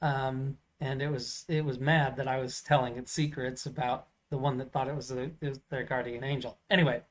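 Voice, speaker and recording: A man with a loud, carrying voice, co-hosting and telling stories in a rambling casual chat with many fillers, over a video call. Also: mid-range voice, level tone, brisk. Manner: loud voice that carries. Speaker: podcast co-host.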